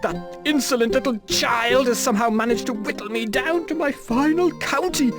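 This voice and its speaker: A man's voice: very high-pitched, moderate pace. A man voicing a scheming snake-like lord in a video game, haughty, oily and wheedling. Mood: indignant whining. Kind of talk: video game villain acting. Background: guitar music.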